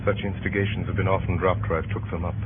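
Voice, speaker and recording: An actor playing Brutus as a grave, brooding Roman conspirator in 1930s radio Shakespeare style, speaking in deliberate, rhetorical verse. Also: male voice, low voice, level tone, close to an everyday voice. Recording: muffled, faintly noisy 1930s radio broadcast.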